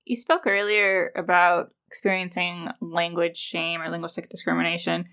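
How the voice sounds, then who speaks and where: Woman podcast host, slightly low voice; measured interviewer. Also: little pitch movement, creaky, sharp tone, clean tone. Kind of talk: interview questions.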